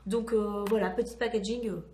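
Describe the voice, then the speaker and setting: A woman with a hoarse voice recovering voice loss, chatting enthusiastically as she reviews a beauty product to camera. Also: mid-range voice, fairly even intonation, normal speed, mellow, a little hiss or hum. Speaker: beauty vlogger. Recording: echoey, reverberant room.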